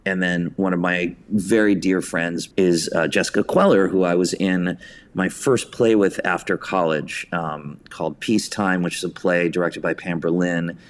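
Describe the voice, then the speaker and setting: Male, low-pitched voice, interview guest recounting creative career anecdotes in a conversational way. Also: fairly even intonation, normal speed. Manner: anecdotal, hesitant phrasing, acts out quotes. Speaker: interview guest, theatre director and writer.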